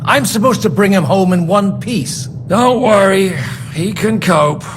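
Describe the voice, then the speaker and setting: A man's voice: sits high, expressive, measured pace, background noise. A man playing an earthy, genial fighting priest in a medieval video game, talking bluntly in old-fashioned turns of phrase. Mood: reassuring, blunt. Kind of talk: cinematic video game acting.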